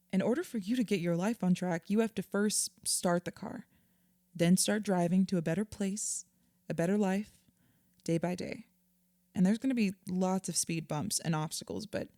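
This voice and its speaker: Audio drama: actress as earnest female therapist, mellow tone, measured and reassuring, very dry studio-close sound. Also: on the low side, no echo, lightly acted. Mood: firm, encouraging. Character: patient, earnest female therapist counselling a client. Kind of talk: naturalistic audio drama acting.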